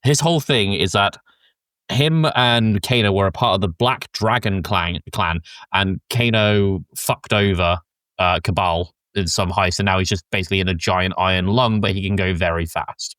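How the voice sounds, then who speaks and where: A low-pitched man hosts a relaxed film chat, explaining details like an enthusiast. His language is informal and a bit sweary, and the sound is close and dry. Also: normal speed, no echo. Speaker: podcast host. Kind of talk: casual film discussion.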